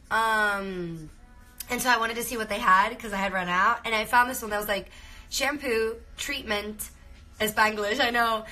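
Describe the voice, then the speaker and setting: A woman's voice: medium pitch, bright, forceful tone. A young woman from Venezuela with a Cuban Spanish accent, chatting casually on a live stream from her bedroom and switching between Spanish and English, with lots of fillers. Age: young adult. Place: bedroom indoors. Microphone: phone held close.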